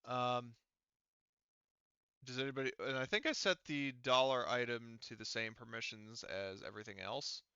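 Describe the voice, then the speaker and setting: A man running an online tabletop game as game master, talking casually and haltingly as he thinks aloud while troubleshooting. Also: medium pitch, bright, forceful tone, smooth, dry recording, highs cut off.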